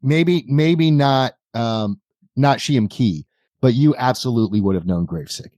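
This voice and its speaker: A man narrating a tabletop roleplaying game as game master, in a deliberate, measured way. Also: mid-range voice, a little mellow, no echo, clean studio sound.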